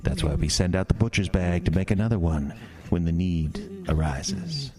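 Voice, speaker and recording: A man's voice: sits low, sing-song, normal speed, darkish tone, slightly rough, full character voice. A man playing a sinister carnival master in a horror audio drama, speaking with false comfort, theatrical condescension and cool menace. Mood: coolly gloating. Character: sinister, smooth-talking carnival master luring a boy, coolly menacing.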